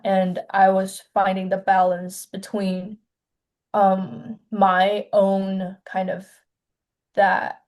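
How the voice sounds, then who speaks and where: Soft-spoken young woman from China. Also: mid-range voice. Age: 20s. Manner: soft-spoken, hesitant, many pauses and restarts. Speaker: filmmaker guest. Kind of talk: casual interview.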